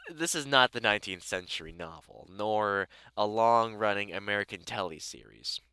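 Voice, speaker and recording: A man acts as a wry British vampire hunter in an intimate audio drama. His voice is lowish, clear and bright, close and dry. He talks casually and sardonically, as if to a friend. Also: lively intonation, normal speed, no room sound. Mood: wry, teasing. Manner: dry, sarcastic, chatty, informal with mild swearing. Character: gruff, sardonic but welcoming male vampire hunter. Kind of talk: conversational one-sided audio roleplay addressed to the listener.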